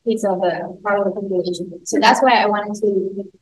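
A woman whose first language is Spanish, hosting an interview podcast in a halting way, with many fillers and restarts. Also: mid-range voice, steady tone, rough recording. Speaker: podcast host. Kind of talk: casual interview.

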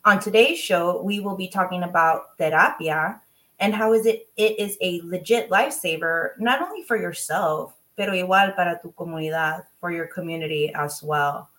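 A woman's voice: on the low side, moderate pace, fairly bright tone. A woman hosting a podcast interview, enthusiastic and effusive, slipping Spanish phrases into her English with frequent ums. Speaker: podcast host.

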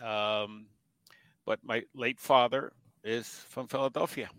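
Bright, dry-sounding older man. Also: medium pitch, steady tone, slow pace, no echo. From Puerto Rico. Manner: storytelling, chuckles often, many restarts. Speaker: guest broadcaster. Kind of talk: casual interview chat.

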